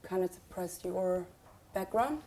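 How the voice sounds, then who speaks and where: A young woman from Switzerland, chatting casually as a musician guest in an unhurried, hesitant way with many "um"s. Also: on the low side, expressive, mellow. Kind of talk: casual conversation.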